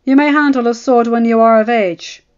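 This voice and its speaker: A woman's voice: a little high, steady tone, normal speed, darkish tone, clear, resonant tone, highs cut off, pitched above the performer's own voice, lightly acted. A woman voicing a stern old knight and master-at-arms, speaking firmly and formally.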